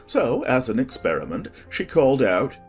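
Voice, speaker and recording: An audiobook narrator reading a children's story in a measured, expressive scripted style. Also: medium pitch, faint music behind.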